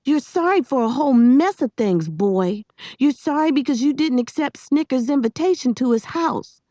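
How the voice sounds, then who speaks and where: Woman voicing a high-pitched, bossy, exasperated young girl. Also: darkish tone, dry recording, highs cut off. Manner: snappy, scolding, emphatic.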